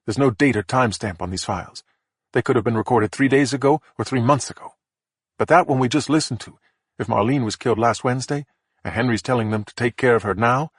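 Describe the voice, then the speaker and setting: A man's voice: mid-range voice, slightly rough, no room sound, clean studio sound, natural voice. A man voicing a methodical female police investigator who talks in a level, matter-of-fact way. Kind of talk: audiobook character voice.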